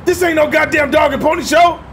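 A man playing a foul-mouthed police lieutenant in an action-parody radio play, blustering and yelling in exasperation. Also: very high-pitched, steady tone, brisk, noisy background. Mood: furious.

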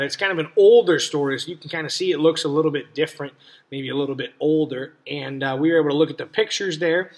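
A man's voice: a little high, fairly bright tone. A young American man talking casually and simply to children, explaining and asking questions like a teacher. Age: young adult. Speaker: read-aloud teacher and host. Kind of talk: casual talk to young children about a story. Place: small cluttered room indoors.

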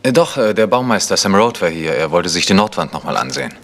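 An actor playing an earnest, guarded village vicar with a formal manner in a German radio crime drama. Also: male voice, deep voice, expressive, fast, creaky, a bit bright, heavily acted. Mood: uneasy. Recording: noisy recording with clicks.

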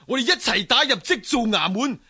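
A man's voice: very high-pitched, very animated, fairly quick, bright, forceful tone, breathy edge, exaggerated voice. An actor playing a fiery male weaver who leads a rebellion in a historical radio drama, declaiming in a rousing, commanding way. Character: fiery rebel leader, male weaver rallying an angry crowd.

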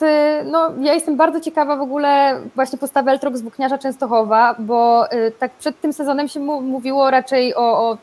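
A woman's voice: on the high side, a little mellow. A woman, discussing sport casually on a video call as a presenter. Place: indoor room at home, video call. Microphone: video call microphone.

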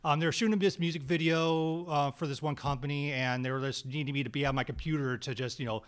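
A man being interviewed about his film work, talking casually in a halting, rambling way with many restarts, recorded on a desk stand mic in a small studio. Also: fairly high pitch, steady tone. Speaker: guest actor and screenwriter.

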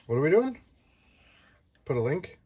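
A man's voice: on the high side, big pitch swings, moderate pace, crowd noise. A man reading a children's book aloud with casual, playful chat, explaining things simply to a child. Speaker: parent reading aloud and host. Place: indoors at a tabletop, book filmed from above.

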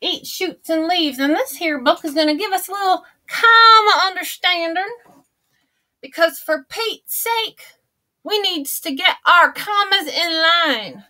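A woman's voice: extremely high pitch, measured pace, bright, forceful tone, heavily acted. A woman voicing a folksy old grandma who reads a storybook aloud in a chatty, homespun, theatrical way. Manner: chatty and theatrical, gasping and exclaiming.

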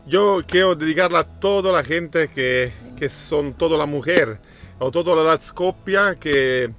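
A man's voice: a little high, fairly bright tone. Man, Italian accent, non-native speaker, 40s, hesitant casual chat, small room, faint music. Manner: hesitant, halting, mixes Italian words into his Spanish. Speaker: host of a music reaction channel.

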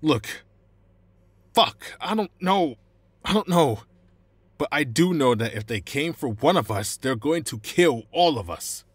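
Man acting husky, anxious cornered informant. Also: fairly high pitch, expressive, measured pace, dry recording. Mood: panicked, urgent. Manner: urgent, explaining. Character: cornered, anxious male informant, pleading and tactical. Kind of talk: audio roleplay acting. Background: music.

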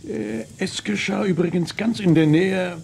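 An actor playing a hesitant but dignified army general who hems and haws, in a western radio play. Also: male voice, high voice, vocal fry, darkish tone, slight background noise. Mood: halting, explaining. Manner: halting, hemming.

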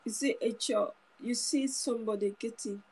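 A woman in her thirties preaches straight into a phone camera held close, her voice a little husky and pitched on the high side. She speaks earnestly, going back over her words and restarting phrases as she makes her point. The sound is close and plain, from a small indoor room. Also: fairly even intonation, moderate pace, a little mellow. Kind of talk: informal sermon to camera.

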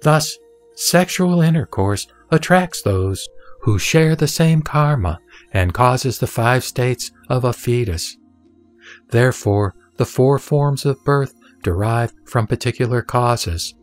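A man reading scripture as narrator of an audio drama, in a solemn, measured and deliberate way. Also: mid-range voice, expressive. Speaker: sutra reciter and narrator. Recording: background music under the voice. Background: music.

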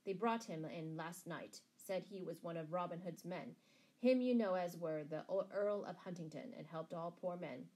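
A woman's voice: lowish voice, moderate pace, mellow, lightly acted, rough recording. A woman doing a rustic, wry old pilgrim. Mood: regretful. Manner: rustic dialect phrasing.

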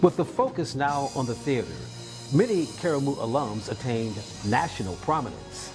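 A man voices a documentary narration, reading lists in a steady cadence. His pitch moves in a lively way around a medium voice. Guitar music plays clearly underneath. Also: normal speed. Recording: voice-over with music bed.

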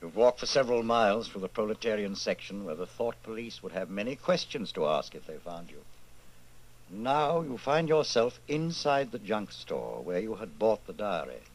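An actor playing an ominous narrator in an old-time radio drama. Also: male voice, on the low side, very animated, normal speed, low-quality recording. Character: ominous male narrator addressing the hero.

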